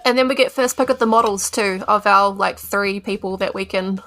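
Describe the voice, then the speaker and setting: A woman's voice: mid-range voice, normal speed, a bit bright, faint music behind. A woman playing a tabletop game on a podcast, speaking casually with the other players. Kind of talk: casual chat.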